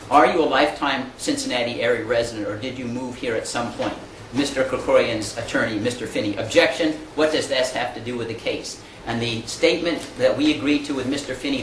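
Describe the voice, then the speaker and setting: A man voicing formal legal objections, firm, argumentative and precise. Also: medium pitch. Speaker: opposing attorney. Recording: echoey room with faint background noise.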